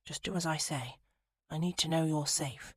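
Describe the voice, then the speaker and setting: A woman voicing a stern young gentleman. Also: low-pitched, moderate pace, breathy edge. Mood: firm. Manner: firm, clipped commands.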